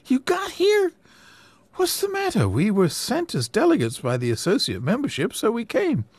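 Guy doing a high squeaky nyc reporter, can't believe it, talks fast, dry sound. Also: huge pitch swings, husky, no echo, exaggerated voice. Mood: amazed. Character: astonished fast-talking male New York reporter.

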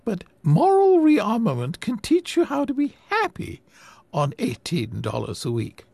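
A man's voice: sits high, huge pitch swings, a little slow, vocal fry, darkish tone, no room sound, voice pushed up, heavily acted. A man putting on a prim, preachy old lady. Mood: sweet. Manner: prim, sugary, preachy, turns snappish. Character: preachy sweet old woman campaigner. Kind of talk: reading a memoir aloud.

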